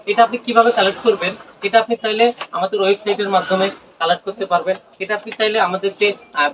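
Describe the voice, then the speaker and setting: A man's voice: high voice, fairly bright tone, muffled recording. A man with a Bangladeshi accent giving an informal, repetitive product demonstration as a shop presenter, addressing viewers directly. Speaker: shop presenter selling telephone equipment.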